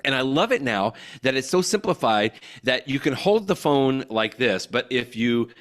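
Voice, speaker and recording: A man's voice: medium pitch, very animated, moderate pace, a bit bright. An American man co-hosting a tech podcast, explaining tips in a casual, enthusiastic way. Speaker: podcast co-host. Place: hotel room.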